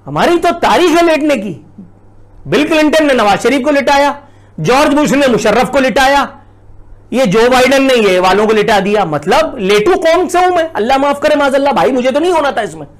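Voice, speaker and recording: A man's voice: very high voice, animated, normal speed. A middle-aged Pakistani man giving indignant, sarcastic political commentary full of rhetorical questions. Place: indoors, plain blue backdrop.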